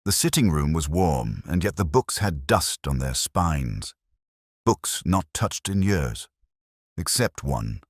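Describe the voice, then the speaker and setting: Brooding older detective, male actor, first-person mystery narration; bottom-register husky voice, literary and melancholy, recorded close and dry. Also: a bass voice, big pitch swings, takes it slowly, no room sound, heavily acted. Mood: wary, observant. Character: brooding, world-weary older male English detective, narrating his case.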